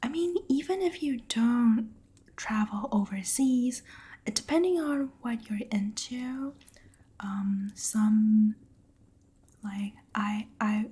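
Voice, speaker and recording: A woman from Taiwan, a Mandarin speaker, chatting to her stream viewers in a soft, breathy ASMR whisper, giggly and hesitant with many ums. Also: mid-range voice, fairly even intonation, slow pace. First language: Mandarin Chinese. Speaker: VTuber streamer.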